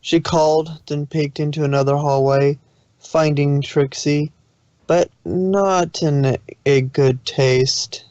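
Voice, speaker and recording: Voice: mid-range voice, steady tone, takes it slowly, darkish tone, no room sound. A narrator reading a scripted fan fiction story aloud, stumbling now and then. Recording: dull, muffled dry audio.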